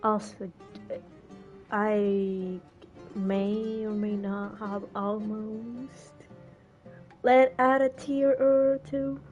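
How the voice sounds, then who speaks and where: A woman's voice: medium pitch, steady tone, drawn-out words, long pauses, darkish tone, highs cut off, soft background music. A woman streamer giving chatty, half-joking commentary while playing a game. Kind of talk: casual gameplay commentary.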